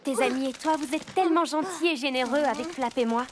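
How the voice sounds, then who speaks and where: A woman voicing a polite, friendly young peacock girl in a children's cartoon, earnest, upbeat and quick to apologise. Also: sits high, a little hiss or hum, natural voice. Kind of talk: dubbed children's cartoon acting. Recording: music behind the voice.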